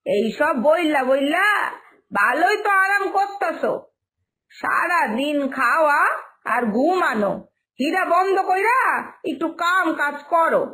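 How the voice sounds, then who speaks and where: একজন মহিলার অভিনয়ে পূর্ববঙ্গের টানে মুখরা, চড়া গলার তরুণী. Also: female voice, extremely high pitch, a bit bright, exaggerated voice. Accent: eastern Bengali dialect. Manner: blunt, taunting. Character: mouthy, mocking younger working woman of village household. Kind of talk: cartoon story voice acting.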